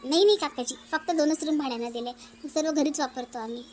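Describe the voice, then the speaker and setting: A woman's voice: high voice, fast talker, close to an everyday voice. A nosy but hospitable landlady from a cartoon family comedy , acted by a woman, speaking. There is faint noise behind her voice. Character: inquisitive, hospitable married landlady.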